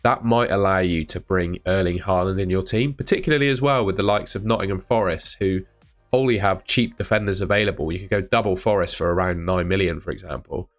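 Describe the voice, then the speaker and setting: Croaky low-voiced man. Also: brisk, faint music behind. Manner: chatty, thinks aloud with asides. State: croaky voice from shouting. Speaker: fantasy football channel host. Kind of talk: casual talk to camera.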